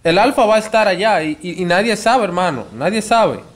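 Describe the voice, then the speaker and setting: A man's voice: sits high, expressive, fairly quick. A man in his twenties with a Dominican accent makes a prank phone call, posing as a show promoter in a pleading, insistent way. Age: 20s. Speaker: prank caller.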